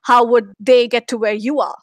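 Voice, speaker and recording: A young woman with an Indian accent, moderating a live online question-and-answer session, speaking in a measured way with small fillers. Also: on the high side, steady tone, no room sound, clean studio sound. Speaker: moderator, program manager. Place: office.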